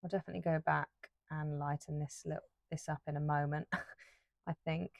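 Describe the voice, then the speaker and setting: A woman chatting casually while she paints, rambling with lots of fillers and restarts, her voice a little croaky. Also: low voice, flat delivery, moderate pace, dry recording, clean studio sound. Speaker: art YouTuber.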